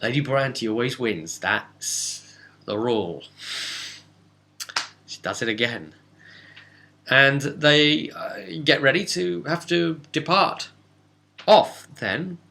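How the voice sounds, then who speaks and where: A man's voice: mid-range voice, takes it slowly, gravelly, pauses often, bright, forceful tone, slight background noise. A man, chatty and rambling, casually retelling a tabletop role-playing session as its game master and slipping into dialogue. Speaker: game master and vidcast host.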